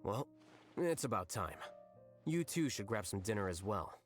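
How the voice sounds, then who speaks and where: A man's voice: lowish voice, sing-song, normal speed, soft background music. An actor voicing a detached, blunt young male scholar and official in a video game, speaking in a dry, matter-of-fact way.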